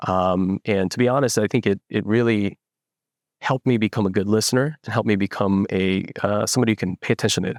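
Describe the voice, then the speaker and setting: A young man from the Philippines, a startup founder, telling his story in a relaxed interview with lots of fillers and restarts. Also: on the low side, a little fast, no room sound, studio quality. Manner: reflective, many fillers and restarts. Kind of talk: casual interview.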